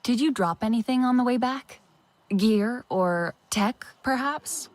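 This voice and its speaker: An actress playing a young Na'vi woman in a video game, a wary and curious investigator with a measured, questioning manner. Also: a little high, animated, no room sound. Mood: probing. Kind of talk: video game dialogue acting.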